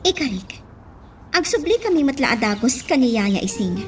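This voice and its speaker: Radio drama daughter, female actor: young, earnest, high and expressive, soft music behind. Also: moderate pace. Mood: pleading. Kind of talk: Ilocano radio drama acting.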